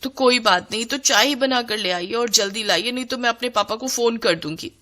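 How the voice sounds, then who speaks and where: A woman's voice: a little high, brisk, sharp tone. A woman voicing a spoiled modern young daughter-in-law in a cartoon story, breezy, bossy and demanding.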